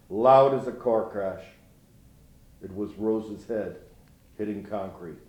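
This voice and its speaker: A man's voice: on the low side, a little mellow, lightly acted. An actor playing a grieving older grandfather who speaks in a folksy, rueful way in a dramatic monologue. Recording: roomy echo.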